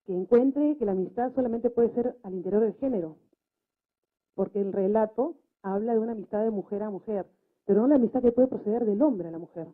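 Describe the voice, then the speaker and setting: A woman's voice: medium pitch, brisk. A woman taking part in a debate, giving an analytical critique in a questioning way with frequent short tag questions. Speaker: debate participant. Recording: muffled, narrow-band live recording.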